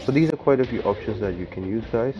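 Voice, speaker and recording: A man's voice: on the low side, animated, fast, muffled recording, noisy background. A man giving step-by-step instructional commentary for a video game walkthrough. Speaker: game guide video creator and host.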